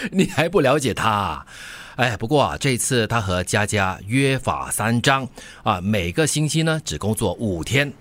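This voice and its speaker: An actor playing a friendly, caring middle-aged uncle in a radio drama, with a Singaporean accent. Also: male voice, mid-range voice, sing-song, a little slow, hardly any pauses, fairly bright tone, no echo. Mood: warm, jovial. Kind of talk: Mandarin radio drama acting.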